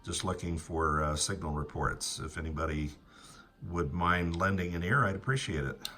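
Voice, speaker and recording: A middle-aged American man chatting casually as an amateur radio operator, with a halting, pause-filled delivery. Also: low-pitched, noisy background. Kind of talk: casual ham radio conversation.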